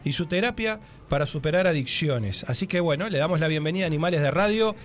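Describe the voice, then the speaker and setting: A man's voice: fairly high pitch, lively intonation, fast talker. A male radio host, interviewing in a casual, hesitant way with many fillers.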